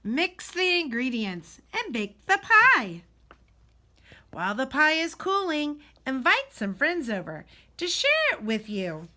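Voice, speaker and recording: A woman reading a children's storybook aloud expressively, with deliberate, dramatic emphasis and pauses. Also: sits high, sing-song, pushed and bright. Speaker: teacher reading a picture book aloud.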